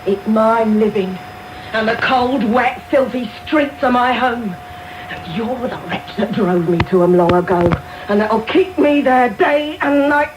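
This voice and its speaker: Woman acting bitter, high-voiced young street thief. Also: measured pace, natural voice. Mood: bitter fury. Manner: fierce, bitter, defiant. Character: bitter, defiant young female thief, worn by street life. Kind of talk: radio drama acting. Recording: hissy medium-wave radio broadcast off tape.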